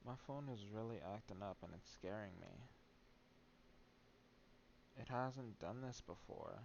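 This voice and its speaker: Low-voiced man streaming a game, dull audio. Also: brisk, gravelly, a little hiss or hum. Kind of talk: casual live gameplay commentary with some reading aloud.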